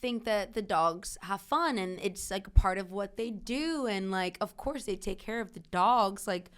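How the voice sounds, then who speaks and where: A woman co-hosting a podcast in casual, animated chat, laughing a lot as she talks. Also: medium pitch, a little slow, clean tone. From New York. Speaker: podcast co-host.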